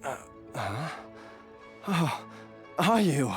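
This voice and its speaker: Slow, hesitant ailing father. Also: on the high side, sing-song, over music, full character voice. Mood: confused, apologetic. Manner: hesitant, gentle. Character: ailing middle-aged father, forgetful, apologetic, grateful. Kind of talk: video game character acting.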